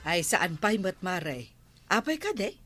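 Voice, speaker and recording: An actress playing a village captain's wife, a calm and inquiring matron in a radio drama, with an Ilocano accent. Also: female voice, lowish voice, measured pace. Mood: inquiring, firm.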